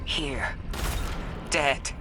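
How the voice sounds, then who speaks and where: A woman playing a solemn, loyal warrior in a video game, with a grave and deliberate delivery. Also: noisy background. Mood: shaken, grim. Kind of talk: dramatic video game acting.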